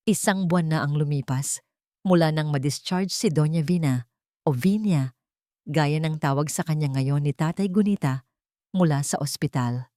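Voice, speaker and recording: A woman narrates a dramatic story from a script. Her voice sits a little low for a woman. The recording is close and very dry, with no room sound. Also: moderate pace, mellow, dry recording.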